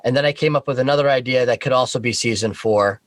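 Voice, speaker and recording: A man's voice: medium pitch, no echo. Casual male guest, dry close sound. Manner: informal, halting, thinking aloud. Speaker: guest, audio horror series creator. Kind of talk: casual interview talk.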